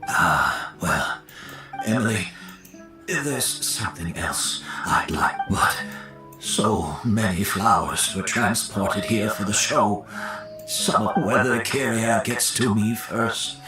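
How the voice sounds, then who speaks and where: Weary, slow-talking male mentor. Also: on the low side, music in the background, rough recording. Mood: hesitant. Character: weary, resigned male master perfumer and mentor. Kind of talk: acted video game dialogue.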